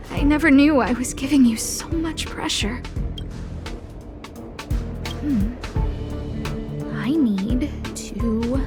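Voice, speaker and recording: A woman voicing an earnest, fretful young female boss in a video game, full of guilt. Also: high-pitched. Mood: guilty, pondering.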